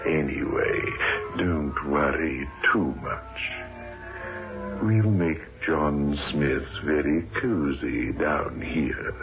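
Slow, cavernous ghoulish horror host. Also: male voice, very deep voice, music in the background, heavily acted. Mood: sly, mocking. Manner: drawling, macabre, mock-cosy. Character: sinister, mocking, ghoulish horror show host. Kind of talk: 1960s radio horror hosting. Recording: dull old radio recording.

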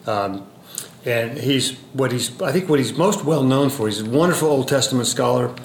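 A man of middle pitch who hosts a podcast, chatty and easygoing, sometimes stumbling over his words. His voice rings a little in the room, with faint background noise. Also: expressive, normal speed. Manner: friendly, conversational questioning with hesitations. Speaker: podcast host, professor. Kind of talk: podcast interview. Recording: roomy sound with faint ambient noise.

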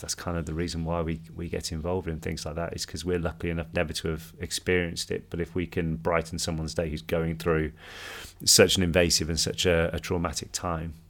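A man's voice: low-pitched, fairly bright tone. A man co-hosting, answering viewer questions in casual chat, upbeat and sincere.

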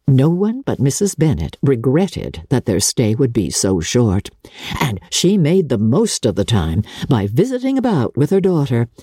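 Husky, very expressive woman narrator. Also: very deep voice, no room sound, clean studio sound. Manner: expressive, measured storytelling. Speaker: audiobook narrator. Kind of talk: dramatic literary narration.

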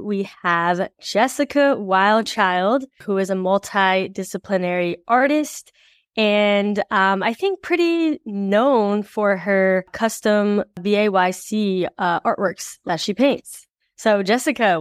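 A woman hosting a podcast with a smooth, clear voice, recorded close and dry. She talks in a relaxed, chatty way and introduces her guest informally. The sound is intimate, with no room echo. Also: mid-range voice, takes it slowly. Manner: relaxed, chatty, uses fillers. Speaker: podcast host.

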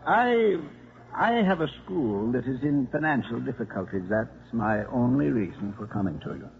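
Mild elderly priest, polite, quietly shrewd, animated intonation, 1950s radio drama, tinny noisy recording. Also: male voice, medium pitch, moderate pace, creaky. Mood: earnest. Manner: polite, gentle, slyly understated. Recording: thin, phone-like 1950s radio recording, noise.

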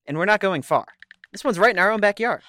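A woman's voice: mid-range voice, animated, fast, a bit bright, close to an everyday voice. A woman playing an eager, bossy leader of a ghost-hunting crew in an audio drama.

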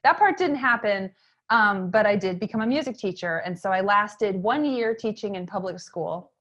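A woman's voice: medium pitch, moderate pace. A woman in her thirties from Maine, telling stories in a chatty interview with lots of fillers. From Maine, USA. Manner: chatty, frequent fillers, lively emphasis. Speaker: interview guest, entrepreneur. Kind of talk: casual conversational storytelling.

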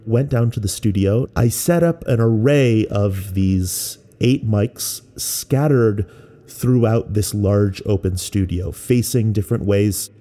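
A man who works as a musician explains experimental music in a measured, thoughtful way with pauses. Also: fairly low pitch, warm tone. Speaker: musician and composer. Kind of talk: explanatory talk about making experimental music.

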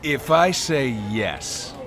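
A man acting as a smooth-talking, flattering and manipulative stranger in an audio drama, talking casually and coaxingly. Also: on the high side, drawn-out words, a crowd in the background, exaggerated voice. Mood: flirtatious. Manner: flirtatious, coaxing. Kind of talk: naturalistic audio drama dialogue.

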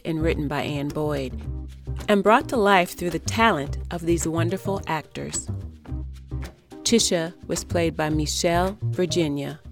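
A woman reading scripted announcements and credits for an audio drama. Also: sits low. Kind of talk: scripted narration.

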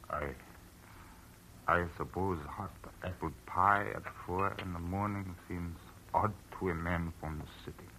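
An actor playing a grieving, kindly older rural man who speaks haltingly, in 1940s radio drama style. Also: low-pitched, steady tone, pushed and bright. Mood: awkward, hospitable. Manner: halting, hesitant. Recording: noisy old radio recording.

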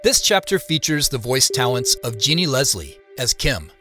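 A man narrates an audio drama from a script. His mid-pitched voice rises and falls in a lively way, with clear music playing behind it. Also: normal speed. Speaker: narrator and producer.

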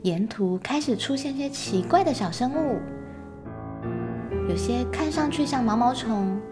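A woman's voice: fairly high pitch, lively intonation, a little slow, over music. A woman narrating a scripted children's story as its storyteller.

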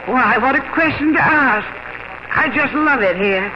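Middle-aged mother, very high voice, homesick, bravely cheerful, hesitant, homely, vintage radio drama, dull sound. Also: animated, measured pace. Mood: brightly defensive. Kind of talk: 1950s radio drama acting. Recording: muffled old radio broadcast, music.

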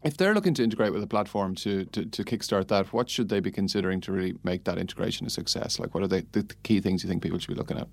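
A man's voice: deep voice, very fast, vocal fry, hardly any pauses, dry recording. A man hosting a podcast interview, putting questions in a conversational way.

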